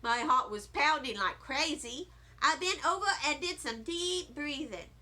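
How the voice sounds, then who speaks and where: A woman reading a children's story aloud in an expressive, animated and deliberate way. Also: high voice. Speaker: storybook reader.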